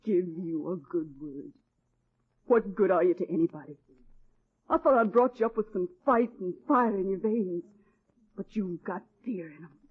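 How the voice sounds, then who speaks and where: A woman's voice: mid-range voice, breathy edge. An actress playing a bitter, proud middle-aged waitress mother, scornful and reproachful, in 1940s radio drama style. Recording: thin, tinny old-time radio recording.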